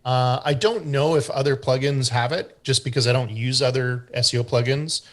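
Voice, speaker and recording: Canadian male SEO guest, easygoing explainer, mid-pitched voice. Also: normal speed. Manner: relaxed and explanatory, with frequent fillers and restarts. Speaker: guest expert on website SEO. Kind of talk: explaining during an online group meeting.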